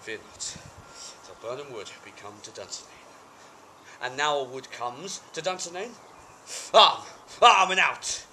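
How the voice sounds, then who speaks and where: A man performs a war-weary tragic king facing his doom. The delivery is theatrical and intense, with wide swings in pitch and a bright, forceful edge. It is a stage monologue caught on an amateur video with some background noise. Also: lively intonation, moderate pace. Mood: threatening, doubtful. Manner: theatrical, intense, shifting between hushed and outbursts. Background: birds and ambient noise.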